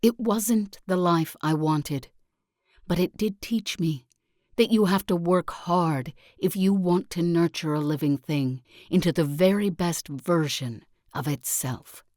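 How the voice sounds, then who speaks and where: A low-voiced female sect leader for a strategy game , as an actress plays her. She delivers her lines slowly and solemnly, like a preacher who is wholly sure of her creed. The sound is dry and close. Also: a little mellow, dry recording, close to an everyday voice. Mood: earnest, reflective. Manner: solemn, deliberate, sermon-like oratory. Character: zealous self-help guru and sect leader, preaching with calm conviction. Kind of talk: scripted video game character monologue.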